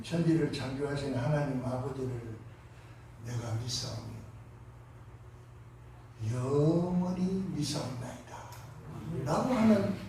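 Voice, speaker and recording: A pastor with a Gyeongsang accent delivering a Sunday sermon, impassioned, moving between hushed and loud passages. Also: male voice, fairly high pitch, slight background noise. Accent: Gyeongsang Korean. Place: large church sanctuary. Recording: echoey sanctuary from far off.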